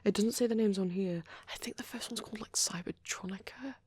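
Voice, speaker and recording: A woman rambling softly in an ASMR style, with a shy, hesitant, breathy delivery that often slips into a half-whisper, close to the microphone. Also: mid-range voice, fairly quick, no echo. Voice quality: soft, breathy. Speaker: ASMR roleplay creator. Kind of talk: soft rambling ASMR chat.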